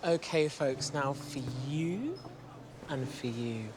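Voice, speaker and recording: Fussy, animated male waiter, higher voice. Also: takes it slowly, background noise. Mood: brisk service. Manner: precious, over-explaining. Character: pedantic, fussy, solicitous restaurant waiter. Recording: music behind the dialogue. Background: music.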